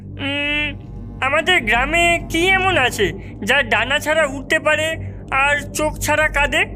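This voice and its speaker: A clever young villager from a cartoon voiced by a woman, very high and bright. The words come slowly and deliberately, as if working something out, with faint music behind. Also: heavily acted. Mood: pondering. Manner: deliberate, thinking aloud.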